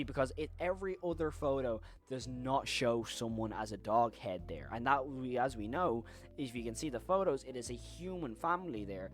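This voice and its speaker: Male video essayist, horror recap host, mid-pitched voice, wordy and rambling with repeated emphasis. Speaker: YouTube horror commentator and host.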